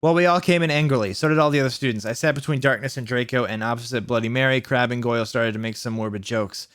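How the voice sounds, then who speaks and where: Guy reading a story out loud for laughs, kinda fried, trips on words and complains. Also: medium pitch, fast. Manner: stumbles over misspelled words, breaks off into exasperated asides. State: mentally worn out from long reading. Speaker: YouTube host reading fan fiction aloud. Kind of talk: comedic dramatic read-aloud with asides to viewers.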